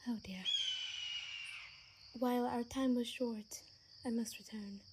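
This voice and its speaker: Woman voicing gentle young female vampire, higher-pitched, soft, hesitant, ASMR roleplay, noisy night ambience. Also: fairly even intonation, slow. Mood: reserved. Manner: soft, hesitant, breathy whispering at times.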